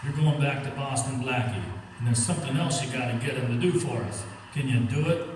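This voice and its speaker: A man's voice: mid-range voice, a little fast, close to an everyday voice. A man playing a cocky, scheming fur thief with slangy tough-guy talk in an old-time radio crime drama. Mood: smug, bossy. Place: live stage performance in a hall. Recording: echoey hall, distant mic, noisy.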